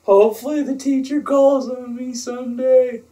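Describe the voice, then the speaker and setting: A man's voice: very high voice, steady tone, moderate pace, dark tone. An American man reading a picture book aloud to kids in a casual, playful way with joking asides.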